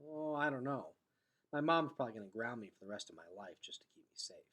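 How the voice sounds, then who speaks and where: A man's voice: mid-range voice, animated, fairly quick, a bit bright. A man voicing a young boy hero in a storybook, blurting out anxious, insistent questions in a casual way.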